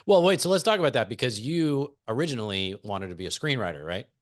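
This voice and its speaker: A man's voice: medium pitch, lively intonation, fast, no echo, studio quality. A man hosting a podcast interview, easygoing and laughing often as he steers the conversation with questions. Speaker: podcast host.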